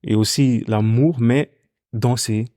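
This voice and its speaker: Young Ghana-born musician, laid-back and hesitant, mellow voice, dry recording. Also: male voice, mid-range voice, no echo. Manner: relaxed, halting, many fillers and restarts. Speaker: musician guest. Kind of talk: casual interview chat.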